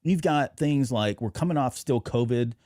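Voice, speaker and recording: Male podcast host, middle-aged, mellow mid-range voice, casual and discursive, recorded dry. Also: mid-range voice, normal speed, dry recording. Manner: discursive, agreeable, talking through points. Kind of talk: casual fan commentary.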